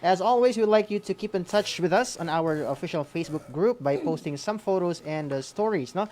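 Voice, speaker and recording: Filipino man co-hosting, halting and filler-filled. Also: sits high, darkish tone, slight background noise. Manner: halting, frequent filler words and tag questions. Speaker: radio school co-host. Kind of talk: casual hosting of an online class, code-switching.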